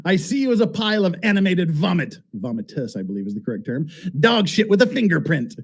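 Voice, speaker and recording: A man with a noticeably high, husky voice delivers a dramatic reading of a written text. He sounds amused by what he reads and slips in asides of his own. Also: big pitch swings, quick pace. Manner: amused, often breaking into laughter as he reads. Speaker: reader and commentator.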